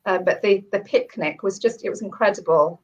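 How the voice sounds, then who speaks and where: A woman with a cold and a croaky voice, chatting as a guest author and then reading her own short story aloud. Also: mid-range voice, brisk. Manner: warm, chatty, with laughs and restarts. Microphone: video call. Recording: dull lo-fi video call audio.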